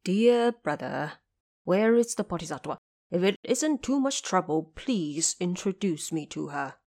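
A woman's voice: lowish voice, lively intonation, a little slow, darkish tone. A woman voicing a male pig monster, a reckless brute who turns humble and confessional, in an audio drama story. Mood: awed, polite.

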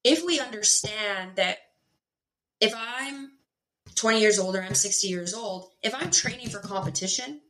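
A woman explaining fitness in a casual, animated way, with many fillers and rhetorical questions. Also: mid-range voice, moderate pace. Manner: animated, lots of filler words and rhetorical "right?". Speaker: pelvic health and fitness expert guest. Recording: echoey room.

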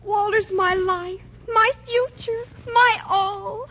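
Brief: high, breathless, swooning teen girl, noisy vintage radio. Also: steady tone, takes it slowly, fairly bright tone, muffled recording, exaggerated voice. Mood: gushing. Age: teens. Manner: gushing, melodramatic, breathless. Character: gushing fickle teenage girl, dramatic. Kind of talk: 1940s radio sitcom acting.

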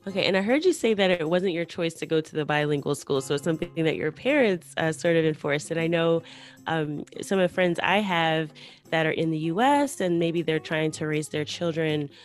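A woman's voice: deep voice, brisk, soft background music. A woman hosting a podcast, chatting casually with her guest and using many fillers. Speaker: podcast host. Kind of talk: casual interview chat.

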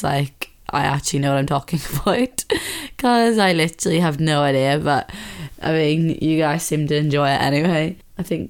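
Low, slightly husky chatty woman. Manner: chatty, relaxed, lots of fillers. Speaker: podcast host. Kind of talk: casual chat. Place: her bedroom, sitting on bed.

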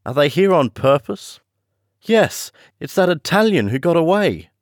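Guy putting on a breathless giddy young woman, way up high, swoopy. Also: big pitch swings, a little slow, no echo, studio quality. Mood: urgent. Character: excited rich young woman, flighty.

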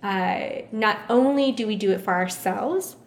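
A thoughtful woman, born in the United States with Vietnamese as her first language, answers interview questions at a measured pace and often repeats a phrase to stress a point. Her voice has a gravelly, creaky edge. The recording is roomy and echoey. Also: medium pitch, a little hiss or hum. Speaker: interview guest.

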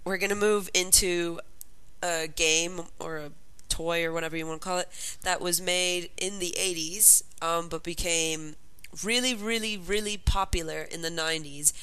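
A woman's voice: on the low side, steady tone, moderate pace, pushed and bright, clean tone. A woman co-hosting a casual podcast about old toy commercials, talking in a rambling way with lots of ums and sounding sniffly from a cold. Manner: chatty, rambling, with frequent ums. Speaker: podcast co-host.

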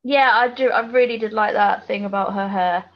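A woman's voice: medium pitch, normal speed. A British woman co-hosting a podcast in informal, colloquial casual chat, on a slightly dull recording. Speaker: podcast co-host.

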